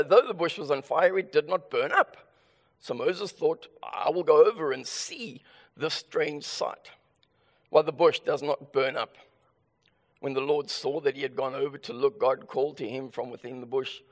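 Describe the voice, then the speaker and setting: Raspy South African pastor preaching. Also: male voice, on the high side, in a large hall. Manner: earnest, with frequent restarts and fillers. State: hoarse from illness. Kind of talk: sermon with scripture reading.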